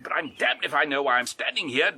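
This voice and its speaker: Sharp, raspy, haughty British gentleman. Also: fairly high pitch, big pitch swings, quick pace, full character voice. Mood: indignant. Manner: curt, pompous, dismissive. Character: irascible, haughty titled father, British gentleman. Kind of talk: golden-age detective radio drama acting.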